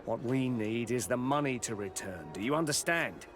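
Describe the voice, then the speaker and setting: A male shopkeeper in a fantasy game, voiced by an actor, courteous but fearful. His mid-pitched voice rises and falls freely in a hesitant, guarded way, with music clearly behind it. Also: expressive, moderate pace, natural voice. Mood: nervous, guarded. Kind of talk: video game dialogue.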